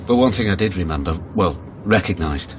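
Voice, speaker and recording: A man's voice: deep voice, animated, brisk, background noise. An actor in a naturalistic radio drama playing a hesitant, wounded man of thirty, apologetic and conciliatory. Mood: subdued. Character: hesitant, wounded thirty-year-old son seeking his father.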